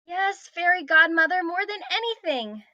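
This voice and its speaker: A woman's voice: extremely high pitch, animated, normal speed, pushed and bright, no echo, lo-fi sound, full character voice. A woman playing an eager, obedient teenage fairy-tale princess who pleads and insists, at a script table read. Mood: meek, yearning.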